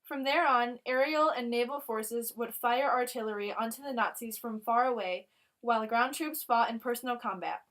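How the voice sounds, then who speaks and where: A woman's voice: a little high, normal speed, fairly bright tone, low-quality recording. A woman reading a scripted history newsreel narration.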